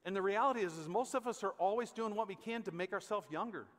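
A man's voice: high-pitched, quick pace, fairly bright tone. An American man in his fifties, a pastor preaching conversationally and emphatically from the stage of a large church auditorium. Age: 50s. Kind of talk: conversational sermon. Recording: echoey hall with long reverb.

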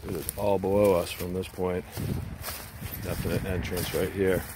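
Man vlogger, lowish voice, keen and chatty, handheld outdoor camera audio with clear rustling behind him. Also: moderate pace, a little hiss or hum, rough recording. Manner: excited, pointing things out while scrambling. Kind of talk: casual handheld walking commentary. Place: outdoors in rocky woodland. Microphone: handheld action camera.

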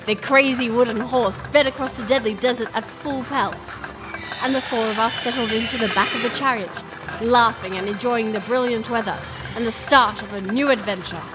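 An actress playing a spirited young princess who tells her adventure in an expressive storybook style for an audio drama. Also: a little high, moderate pace, over music, natural voice, low-quality recording. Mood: bright storytelling.

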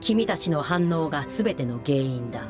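A cool, formal artificial lifeform in a game cutscene, her voice set a little low and spoken with measured, detached precision. Game music plays clearly beneath her lines. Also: animated. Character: calm formal artificial research being, female. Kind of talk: video game cutscene voice acting. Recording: game audio with music underneath. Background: game soundtrack music.

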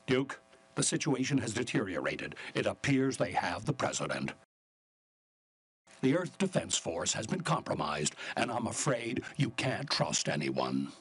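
A military officer in a video game cutscene. The voice is very husky and rough, moving with emphatic rises and falls. He briefs with grave urgency. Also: on the low side, big pitch swings, measured pace, heavily acted. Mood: grave, alarmed. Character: grave male military officer of a defense force.

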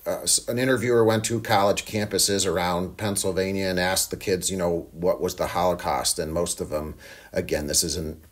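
A man's voice: deep voice, fairly even intonation, moderate pace. An American man hosting an interview podcast, chatty and friendly with lots of fillers. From United States. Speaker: podcast host.

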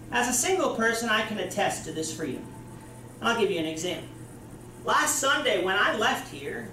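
A man's voice: sits high, pushed and bright, a little hiss or hum. Young man preaching in a roomy hall. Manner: gestures broadly while preaching, explanatory. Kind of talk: sermon. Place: church meeting room with projector screen. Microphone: far camera. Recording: roomy hall, distant mic.

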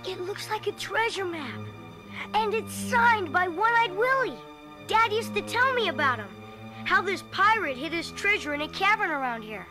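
An actor voicing an earnest, determined young kid in a storybook read-along, speaking in an urgent, animated way. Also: very high-pitched, moderate pace, over music. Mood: wistful, earnest.

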